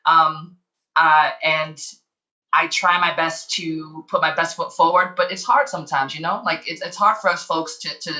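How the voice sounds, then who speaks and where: A woman's voice: low voice, steady tone, moderate pace, pushed and bright. A woman giving an earnest, somewhat hesitant personal account as a panelist on a video call. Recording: slightly dull video call audio.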